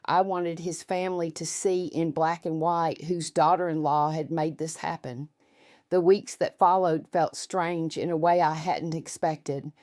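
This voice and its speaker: Woman narrating a first person story, pretty low voice, very dry recording. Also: fairly even intonation, unhurried, darkish tone, no room sound.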